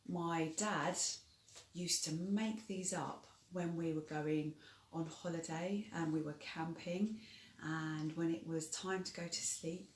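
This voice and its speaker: A woman's voice: sits low, slight background noise. A middle-aged woman chatting casually as she introduces and co-presents children's stories. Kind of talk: casual spoken introduction and chat. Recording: echoey room.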